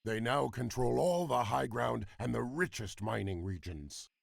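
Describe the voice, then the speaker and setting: A battle-eager, distrustful warrior lieutenant from a video game. The male voice sits at medium pitch with a gravelly edge and a blunt, gruff delivery. It is recorded dry. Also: a little slow, no room sound. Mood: suspicious, advising. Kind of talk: video game cutscene acting.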